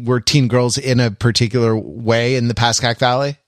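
A man's voice: medium pitch, flat delivery, moderate pace, no echo. A man from the United States talks on a podcast in a wry, hesitant way, full of fillers. His voice is recorded close and dry, with no room sound around it. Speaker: podcast co-host. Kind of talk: casual chat.

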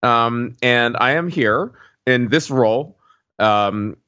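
A man's voice: medium pitch, takes it slowly, sharp tone, clean tone, no room sound, lo-fi sound. A man hosting a casual conversational podcast, joking in a dry, wry way. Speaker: podcast host.

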